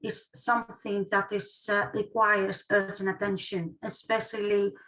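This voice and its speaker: A woman from Greece with a Greek accent, an academic presenting online in a halting way, often tagging phrases with "okay". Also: medium pitch, little pitch movement, fairly bright tone, low-quality recording. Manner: halting delivery, often punctuated with "okay" as a tag. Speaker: academic researcher, guest speaker.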